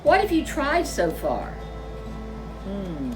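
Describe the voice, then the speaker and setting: A wise, kindly old grandmother bear from a children's storybook , voiced by a woman. The voice is lifted high and swoops freely as she gushes and muses. Music plays behind. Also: huge pitch swings, takes it slowly, recorded from a distance. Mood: kindly, chatty. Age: older.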